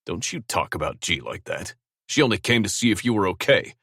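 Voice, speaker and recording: A man voicing Braxton, an easygoing young man trying to calm his brother down. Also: sits low, brisk, breathy edge, no echo, studio quality, full character voice.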